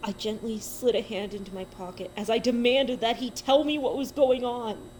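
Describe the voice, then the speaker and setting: A woman's voice: a little high, steady tone, moderate pace, darkish tone, a little hiss or hum. A woman giving a dramatic first-person reading of a horror story, with a suspenseful, emotive delivery.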